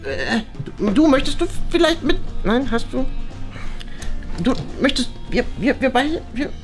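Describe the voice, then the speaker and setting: A woman's voice: sits high, sing-song, measured pace, faint music behind, full character voice. A woman playing a flustered, boastful schoolboy in a children's play story, stammering and easily panicked. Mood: pleading. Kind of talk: playful children's toy-play story acting.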